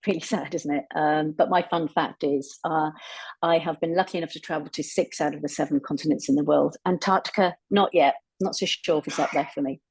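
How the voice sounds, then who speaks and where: An Englishwoman from Cornwall, a netball coach, introduces herself with self-deprecating humour. Her voice is low and husky. It sounds dry and close on a call mic. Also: steady tone, fairly quick, no room sound. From England, Cornwall.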